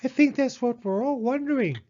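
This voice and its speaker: A man voicing an excitable, hooting owl in a storybook reading. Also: moderate pace, lo-fi sound. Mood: excited. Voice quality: high, hooting.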